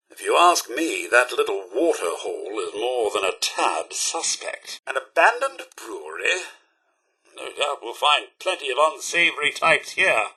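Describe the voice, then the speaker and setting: An actor playing a prim, loyal robot butler in a video game, with a British accent and a formal, theatrical, fussily polite manner. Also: male voice, medium pitch, sharp tone, slightly rough, heavily acted, low-quality recording. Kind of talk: scripted video game companion dialogue.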